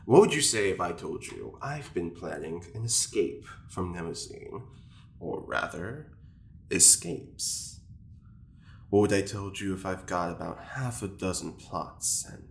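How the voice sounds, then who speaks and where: Man acting a slow, gravelly, scheming prisoner. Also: low-pitched, expressive, full character voice. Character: sly, scheming male prisoner, teasing and unhurried. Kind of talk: audio drama acting. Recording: roomy echo, some background noise.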